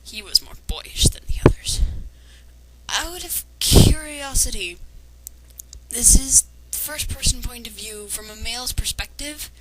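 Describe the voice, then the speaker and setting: A woman's voice: on the high side, expressive, measured pace, background noise. A woman reading fan fiction aloud with sarcastic, critical commentary. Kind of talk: dramatic reading with mocking commentary.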